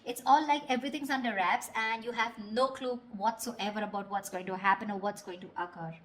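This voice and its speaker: A woman with a fairly high voice works as a tarot reader, talking straight to the listener. Her delivery is casual and conversational, with a blunt, unfiltered manner that allows for some swearing. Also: steady tone, brisk, slight background noise. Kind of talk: casual spoken tarot reading.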